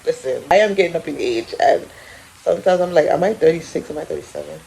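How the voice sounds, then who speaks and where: A woman's voice: sits low, fast, gravelly, slight background noise, low-quality recording. Chatty woman in her thirties. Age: 30s. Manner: chatty and animated, laughs often, talks to viewers directly. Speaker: vlogger. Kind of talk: casual vlog chat to camera. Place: at home in an apartment.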